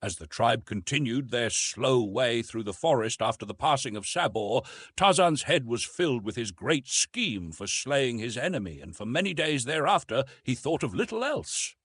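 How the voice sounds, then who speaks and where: Guy narrating an old adventure novel, dramatic, super animated pitch, bit raspy, dry sound. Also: moderate pace, few pauses, no room sound. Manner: dramatic, expressive storytelling. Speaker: audiobook narrator.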